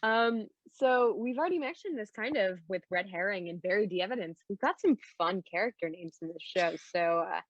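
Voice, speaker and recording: A woman's voice: medium pitch, animated, fairly quick, hardly any pauses, no echo. A woman casually hosting and asking questions on a podcast, recorded dry and slightly muffled. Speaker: podcast moderator and script editor.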